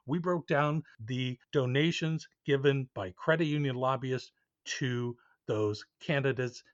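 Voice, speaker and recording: A man's voice: mid-range voice, no echo. A man co-hosting a podcast, speaking informally and easygoing in both chat and promo reads. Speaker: podcast co-host. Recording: dry, slightly dull close recording.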